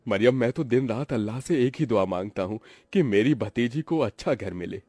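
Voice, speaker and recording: A man's voice: medium pitch, expressive, moderate pace, a little mellow, dry recording, natural voice. A man voicing a poor, devoted uncle in a cartoon story, earnest and pious while hiding his sorrows. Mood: earnest, burdened. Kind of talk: Hindi cartoon story dialogue.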